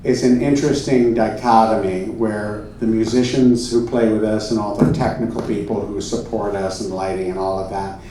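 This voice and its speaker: A man performing on a theater stage in a comedy show, speaking with deadpan, mock-earnest solemnity as a presenter. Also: on the low side, moderate pace, hardly any pauses, a little hiss or hum. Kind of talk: comedy stage show, solemn story narration and audience address. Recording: echoey room, far from the mic, music.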